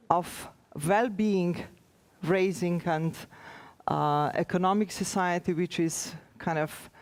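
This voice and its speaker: A woman with a Slovenian accent, a native Slovenian speaker, giving an informal conference presentation as an official, in a hesitant, halting way with many pauses. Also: on the low side, darkish tone.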